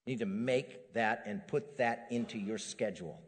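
A middle-aged man preaching a sermon as a pastor, animated and building to emphatic peaks. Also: fairly low pitch, moderate pace. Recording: long hall reverb.

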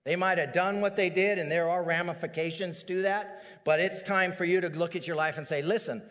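Animated male pastor preaching in a hall. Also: high-pitched, brisk. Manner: animated, rises to emphatic peaks. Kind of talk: sermon. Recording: long hall reverb.

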